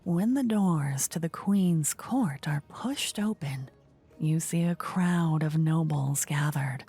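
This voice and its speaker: A woman narrating a scripted fantasy ASMR story in a soft, whispery voice. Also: sits low, slow, soft background music.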